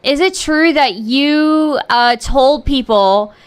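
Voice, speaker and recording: A woman's voice: sits high, slow. A woman in an online voice-chat argument who keeps pressing the same accusation with pointed questions. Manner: persistent and accusatory.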